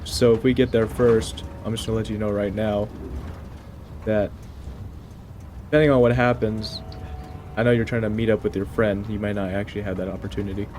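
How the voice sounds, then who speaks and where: A man playing a tabletop game, role-playing casually in a measured, explanatory way. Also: fairly low pitch, flat delivery, darkish tone, slight background noise. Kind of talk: casual in-character role-play. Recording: music playing under the voice.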